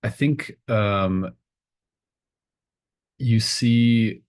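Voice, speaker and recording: A man running a tabletop game as game master, improvising narration in a halting way, thinking aloud mid-sentence. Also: on the low side.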